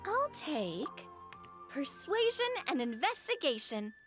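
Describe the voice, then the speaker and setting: A woman playing a friendly, expressive young woman in a video game, with some background noise. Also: high voice, slow pace, music in the background, exaggerated voice. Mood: friendly, thoughtful. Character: bright, friendly young woman, eager role-player.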